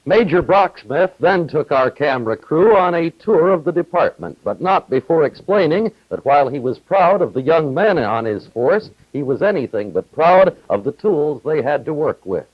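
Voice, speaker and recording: Husky 1950s newsreel man, lively delivery. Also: fairly high pitch, normal speed, few pauses. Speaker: television news reporter. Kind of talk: 1950s scripted news film narration. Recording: dull, roomy 1950s television film soundtrack.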